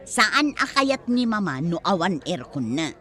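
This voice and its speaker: A woman's voice: on the low side, sing-song, measured pace, fairly bright tone. A woman acting a chatty grown daughter in a family comedy audio drama. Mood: bickering. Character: chatty adult daughter of a retiring teacher.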